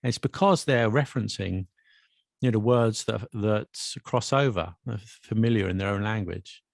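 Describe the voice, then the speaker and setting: A man hosting a casual language podcast, chatty and quick with asides and restarts. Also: lowish voice, vocal fry, no room sound, studio quality. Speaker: podcast host and English teacher. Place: Paris.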